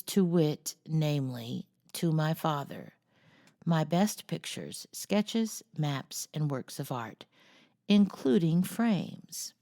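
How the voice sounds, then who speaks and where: A woman's voice: deep voice, creaky, warm tone, no room sound, studio quality, heavily acted. A woman voicing an earnest, self-important young girl in a storybook, speaking primly. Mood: formal, solemn. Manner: prim, earnest.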